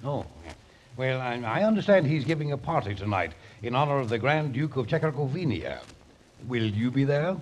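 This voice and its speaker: Animated, stammering comic waiter played by a man. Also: mid-range voice, moderate pace, natural voice. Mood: curious, deferential. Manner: hesitant, stammering. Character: nosy, comic hesitant male waiter. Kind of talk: 1950s radio comedy acting. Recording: noisy 1950 radio broadcast.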